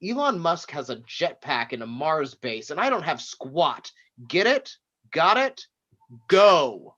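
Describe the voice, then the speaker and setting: An actor playing a brash, demanding, foul-mouthed tech tycoon, pushy and sarcastic, in a screenplay table read. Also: male voice, fairly high pitch, very animated, slow pace, sharp tone, muffled recording, full character voice. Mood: demanding, irritated.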